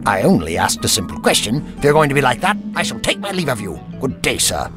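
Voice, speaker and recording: An actor playing a fussy, indignant elderly time traveller with a prim, pompous manner in an audio drama. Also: male voice, mid-range voice, huge pitch swings, fast. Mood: haughty. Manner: pompous, prim, old-fashioned wording. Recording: music under the voice.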